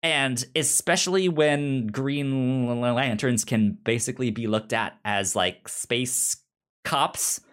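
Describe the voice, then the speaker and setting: A man who stutters on syllables and sounds, talking casually and haltingly as a podcast co-host explaining pop culture. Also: medium pitch, fairly bright tone, smooth.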